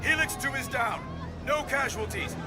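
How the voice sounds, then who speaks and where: A man's voice: very high voice, normal speed, over a noisy crowd, very noisy. An actor playing a professional male helicopter pilot who speaks in clipped radio procedure. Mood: alarmed.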